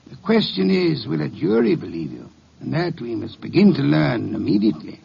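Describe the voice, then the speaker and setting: Old radio recording, a pompous genius detective, gravelly, swoops up and down, coolly taunting. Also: male voice, on the high side, huge pitch swings, muffled recording, heavily acted. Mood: stern, knowing. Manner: formal, deliberate, pompous, theatrical. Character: shrewd, imperious gentleman detective genius, male, coolly taunting. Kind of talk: 1940s-50s radio drama acting.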